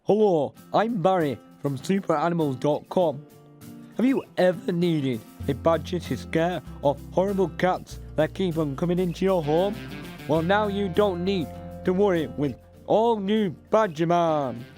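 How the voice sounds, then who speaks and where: A man playing a pushy male salesman in a spoof radio advert, giving a hard-sell pitch and repeating numbers. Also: measured pace, darkish tone, faint music behind. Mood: salesy.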